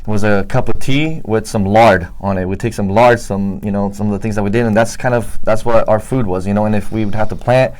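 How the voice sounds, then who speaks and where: Fast-talking man from Romania. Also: fairly low pitch, fairly even intonation, a little mellow. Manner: earnest, many fillers like uh and you know. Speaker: guest. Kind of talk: testimony interview.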